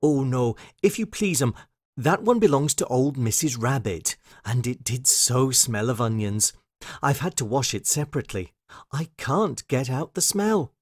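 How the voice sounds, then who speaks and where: A man's voice: mid-range voice, animated, a little slow, husky, dry recording, clean studio sound. A man voicing a fussy, eager little female hedgehog washerwoman from a children's storybook, with flustered, hesitant politeness. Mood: chatty, fussing.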